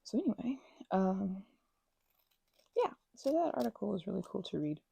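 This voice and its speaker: Rambling woman with a gravelly, mellow voice. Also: mid-range voice, moderate pace, dry recording. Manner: rambling, animated, with mocking put-on voices and sarcasm. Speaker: ASMR channel host. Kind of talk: casual rambling chat while crafting.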